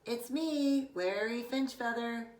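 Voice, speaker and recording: A woman voicing a teasing, matter-of-fact schoolboy best friend in a children's storybook, brisk and insistent. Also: fairly high pitch, darkish tone, slight background noise, voice pushed up. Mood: conciliatory. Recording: roomy, echoing, distant microphone.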